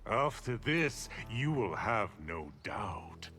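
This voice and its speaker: An actor playing a grandiose evil dark sorcerer in a video game, talking with theatrical menace. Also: male voice, on the low side, big pitch swings, takes it slowly, full character voice. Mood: sinister. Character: evil male Netherrealm sorcerer, sinister and grandiose. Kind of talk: video game character dialogue. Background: game music.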